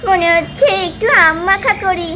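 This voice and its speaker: A young village wife calls after her husband in a doll puppet cartoon, fond and a little chiding, as a woman puts her on. The voice is very high, with steady hiss behind it. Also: moderate pace. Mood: delighted. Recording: steady background noise.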